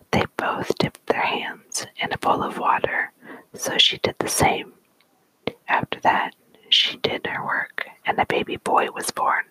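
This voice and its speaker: A storyteller reading tales aloud in a soft, deliberate whisper, in an ASMR style. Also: no echo, rough recording. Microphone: close mic.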